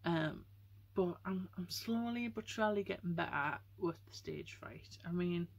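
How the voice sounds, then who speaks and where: Chatty young woman, breathy nasal voice. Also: unhurried. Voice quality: nasal, breathy, high-pitched voice. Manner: chatty, rambling, with many fillers. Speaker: vlogger. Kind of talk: casual chat to camera. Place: at home, getting ready for bed.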